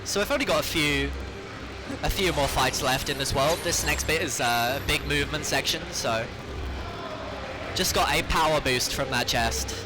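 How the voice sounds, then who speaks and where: Young man, slightly hoarse, a touch high-pitched, casual speedrun commentary over loud game music. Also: normal speed. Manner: casual, explanatory, steady running walkthrough. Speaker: speedrunner commentating his run. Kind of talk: live gameplay commentary.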